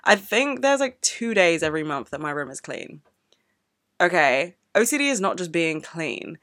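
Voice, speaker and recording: A woman's voice: lowish voice, big pitch swings, normal speed, fairly bright tone, dry recording, clean studio sound. Sweary woman ranting on a podcast. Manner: emotional, swears a lot, ranting. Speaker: podcast host. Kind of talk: unscripted solo podcast monologue. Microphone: podcast microphone.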